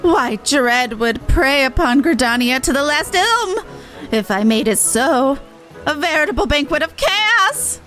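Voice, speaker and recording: Woman putting on a pompous game demon, gleefully macabre, swooping pitch, grandiose drawn-out phrasing, music behind. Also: lively intonation, fairly bright tone. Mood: mock terror. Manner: grandiose, drawn-out dramatic delivery. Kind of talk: voiced reading of game dialogue.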